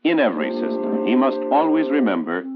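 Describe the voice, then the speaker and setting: An American man narrating a 1960s instructional film in an authoritative, emphatic, measured oratorical way. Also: medium pitch, sing-song. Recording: tinny band-limited 1960s film soundtrack, loud music. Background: loud musical score.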